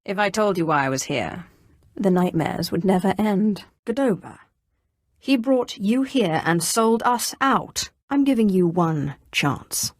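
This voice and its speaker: An actress playing an imperious, menacing Sith sorceress risen from slavery in a video game, with a haughty, commanding delivery. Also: female voice, medium pitch, expressive, measured pace, no echo, studio quality. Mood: threatening, disdainful. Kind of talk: scripted game dialogue.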